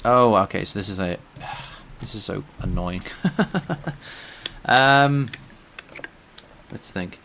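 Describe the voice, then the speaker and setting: A man's voice: fairly low pitch, slow pace, gravelly, long pauses, sharp tone, a little hiss or hum. A man streaming himself coding, thinking aloud and chatting with viewers. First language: English. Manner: thinks aloud while coding, answers chat. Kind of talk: casual live-coding stream chat.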